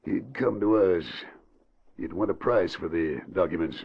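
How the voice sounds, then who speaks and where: A deep gravelly crooked boss, snappy and bossy, old muffled radio drama. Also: male voice, a little slow, heavily acted. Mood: calculating. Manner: curt and bossy, flustered when threatened. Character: gruff, irritable corrupt boss taking a threatening call. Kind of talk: old-time radio crime drama acting. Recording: dull, faintly noisy vintage radio recording.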